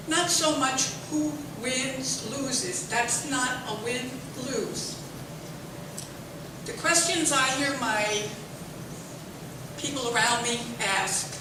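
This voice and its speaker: Woman audience member, high voice, slow and impassioned, distant mic in a reverberant room. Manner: passionate, urging, loud. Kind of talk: impassioned public comment. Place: public library meeting room. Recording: echoey room from far off with noise.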